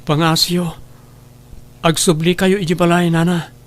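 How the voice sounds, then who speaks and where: A man's voice: on the high side, flat delivery, a little slow, lightly acted. A man playing a kind, distressed adult son in an audio drama, speaking in an earnest, pleading way. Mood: earnest, reassuring. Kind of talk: Ilocano audio drama acting.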